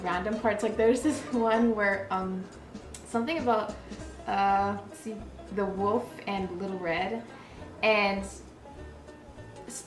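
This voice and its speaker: A woman's voice: mid-range voice, roomy sound. A woman casually recounting a personal story in a hesitant, rambling, conversational way.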